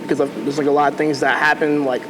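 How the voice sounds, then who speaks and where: A man's voice: on the high side, level tone, fast, noisy background. A young man, a first-time protester, talking hesitantly on a TV panel with many fillers. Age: young adult. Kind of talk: panel discussion.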